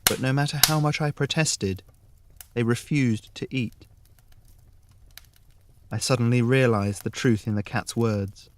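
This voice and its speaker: A man narrating a scripted fairy tale in the first person, measured and with dramatic pauses. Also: mid-range voice, no echo. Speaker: story narrator.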